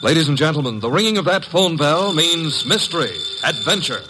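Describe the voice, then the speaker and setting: A man's voice: a little high, expressive, brisk, noisy background. A man announcing in dramatic, scripted 1950s radio style. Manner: dramatic, declamatory.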